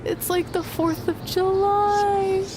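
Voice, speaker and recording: High-voiced, plucky sidekick. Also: female voice, fairly even intonation, slow pace, background noise. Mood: determined. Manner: casual, encouraging, teasing. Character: plucky, teasing young female wrestler sidekick.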